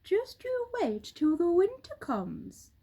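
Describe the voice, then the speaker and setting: A woman's voice: very high voice, wildly swooping pitch, takes it slowly, dark tone, pitched above the performer's own voice, full character voice. A woman voicing a cheerful, confident storybook bird who explains things in a chatty, reassuring way. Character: cheerful, reassuring roly-poly bird, a helpful storybook character.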